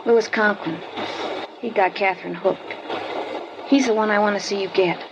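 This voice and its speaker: A woman's voice: mid-range voice, steady tone, natural voice. Woman playing a guarded teenage schoolgirl. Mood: recounting. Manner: guarded, halting, evasive answers. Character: wary 15-year-old schoolgirl informant, guarded. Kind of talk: 1950s radio drama acting. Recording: dull lo-fi old radio recording, clear hiss.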